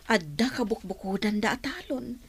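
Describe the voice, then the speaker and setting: A woman's voice: a little high, lively intonation, normal speed, slightly rough, full character voice. A woman playing a worried, plain-spoken mother from a poor rural household in a radio drama. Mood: aggrieved.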